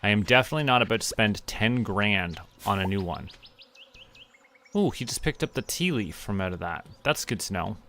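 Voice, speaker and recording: A man from Canada streaming a farming game, talking to himself in a relaxed, muttering way as he plays. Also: fairly low pitch, normal speed, a little hiss or hum. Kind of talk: casual gameplay commentary. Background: game music.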